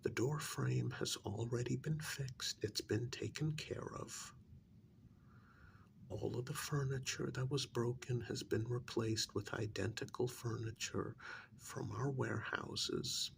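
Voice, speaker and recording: A man playing a stern, exasperated handler of a covert operation in a soft-spoken ASMR role-play, speaking in a deliberate, halting, gravelly half-whisper with menacing emphasis. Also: medium pitch, fairly even intonation, a little hiss or hum. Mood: stern instructing.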